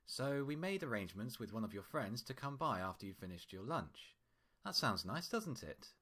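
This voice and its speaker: A man voicing a cheery, falsely gentle pony doctor with a patronizing, chatty manner in a story reading. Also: medium pitch, big pitch swings, moderate pace, pitched above the performer's own voice. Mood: upbeat, coaxing. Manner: patronizing, chatty, over-soothing.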